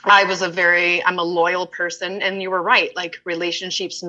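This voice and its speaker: A woman's voice: on the low side, level tone, moderate pace, pushed and bright, muffled recording. A woman guest candidly telling a personal story in conversation, emphatic and acting out what people said to her. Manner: open, emphatic storyteller who acts out quotes.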